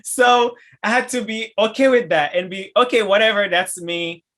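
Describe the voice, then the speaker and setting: A man with a Haitian accent hosting a casual podcast chat, enthusiastic and laughing often, with halting restarts. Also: high voice, fairly bright tone. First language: French and Haitian Creole. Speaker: podcast host.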